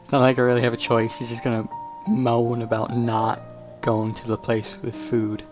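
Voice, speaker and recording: A man's voice: medium pitch, completely flat delivery, normal speed, soft background music. Dry, offhand male gaming commentator. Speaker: gaming YouTuber playing through a game. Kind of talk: casual playthrough commentary.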